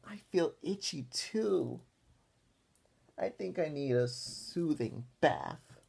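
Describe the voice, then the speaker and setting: Man putting on a whiny, high-pitched little girl. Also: animated, vocal fry, darkish tone, husky, full character voice. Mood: whiny, pleading.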